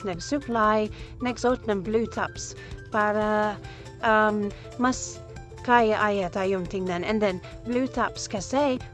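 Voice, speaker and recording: A young woman with a Filipino accent talks at a medium pitch in a chatty, casual vlog style. She slips English phrases into her talk, and faint music plays behind her. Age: 20s. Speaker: vlogger, ESL teacher working from home.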